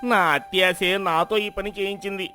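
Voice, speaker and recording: Man voicing greedy self-pitying villager, high bright voice, emotional, storybook tale, music behind. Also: fairly even intonation, moderate pace. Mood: remorseful. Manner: dramatic, emotional.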